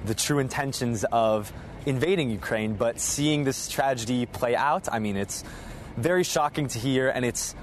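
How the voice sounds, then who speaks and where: A man's voice: mid-range voice, normal speed. Young male student interviewee, earnest, outdoor street noise. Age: young adult.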